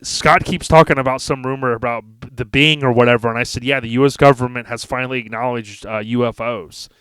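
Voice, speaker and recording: A male podcast host with a mid-pitched voice, recorded close and dry. He chats casually and slips into sponsor reads, talking in a folksy, slangy manner. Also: fairly even intonation, a little fast, fairly bright tone, clean tone, dry recording.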